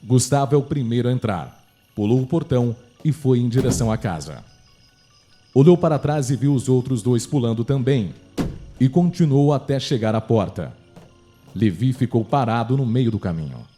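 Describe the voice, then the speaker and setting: Brazilian man, mellow mid-range voice, scripted horror narration, faint music. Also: medium pitch, animated, normal speed.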